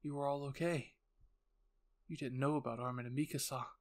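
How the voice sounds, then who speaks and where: A man's voice: mid-range voice, steady tone, brisk. A man narrating a scripted second-person roleplay story in a dramatic storytelling style, as host.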